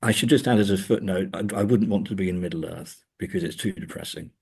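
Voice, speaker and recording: A middle-aged man speaking as an academic guest in a reflective interview, with hesitant, thoughtful, measured phrasing. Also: low-pitched, vocal fry, breathy edge.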